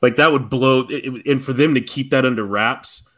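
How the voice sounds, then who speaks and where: Man chatting excitedly in casual slang. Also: mid-range voice, normal speed. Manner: animated storytelling, slangy, profane. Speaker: podcast co-host. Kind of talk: casual fan chat.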